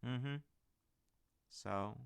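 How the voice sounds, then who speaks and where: A man playing a supportive, rambling dad in a roleplay audio, talking gently and hesitantly to his grown child in a gravelly voice. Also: medium pitch, very slow. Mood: reassuring. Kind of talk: roleplay audio, one-sided conversation.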